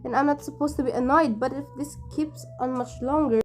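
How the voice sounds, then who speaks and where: A high-voiced, nervous invalid wife in a radio play, acted by a woman. She is imperious and complaining, with a melodramatic edge that tips toward frantic. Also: fairly even intonation, a little fast, lightly acted. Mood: indignant.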